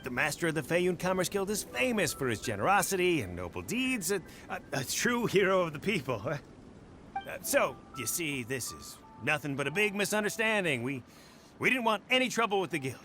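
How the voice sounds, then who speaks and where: A man's voice: sits high, very animated, a little fast, bright, forceful tone, soft background music, full character voice. A man voicing a cowardly bandit in a video game, flustered and fawning as he pleads. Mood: fawning, nervous. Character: cowardly, wheedling bandit pleading his innocence.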